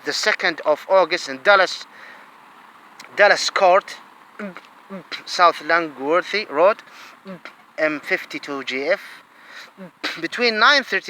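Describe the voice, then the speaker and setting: Agitated high-voiced Saudi-accented man. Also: a little hiss or hum, low-quality recording. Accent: Saudi Arabian. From Saudi Arabia. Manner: agitated, swings between low muttering and loud outbursts. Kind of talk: ranting monologue to camera.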